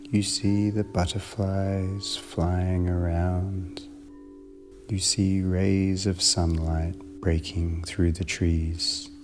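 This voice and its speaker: A man narrating a guided meditation in a measured, soothing manner with long pauses between short phrases. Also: sits low, flat delivery, faint music behind.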